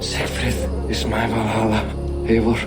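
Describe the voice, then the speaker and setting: A man playing a proud, wistful dying warrior king in a cinematic video game scene, solemn and halting. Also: lowish voice, monotone, lightly acted. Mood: weary, proud. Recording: music behind.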